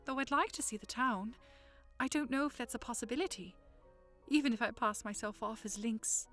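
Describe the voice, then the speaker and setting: A woman's voice: fairly high pitch, moderate pace, a bit bright, lightly acted. A woman playing a polite, shy young princess in an audio drama, with music behind her. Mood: polite, hesitant.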